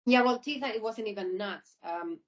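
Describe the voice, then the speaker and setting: Female interviewee, informal and explanatory, circles back over her points, average pitch, muffled lo-fi online call audio. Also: normal speed. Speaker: air traffic controller being interviewed.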